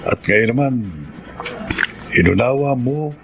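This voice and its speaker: A man's voice: mid-range voice, slow pace, gravelly, fairly bright tone, slight background noise. A man acting a remorseful, grateful husband and father in a Filipino radio drama, earnest and subdued.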